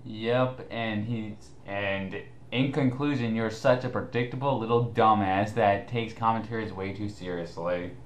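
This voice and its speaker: Male co-host with a lowish voice, snarky foul-mouthed banter, recorded in an echoey room. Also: a little slow, hardly any pauses, slight background noise. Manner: sarcastic, chuckling, profane. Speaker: co-host reading his written replies to comments. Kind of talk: casual mocking commentary.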